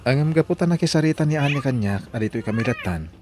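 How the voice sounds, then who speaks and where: A man's voice: mid-range voice, lively intonation, fast talker, darkish tone, a little hiss or hum, natural voice. A man with an Ilocano accent playing a firm, resolved grown son speaking to his mother in an audio drama. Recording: prominent music behind the voice.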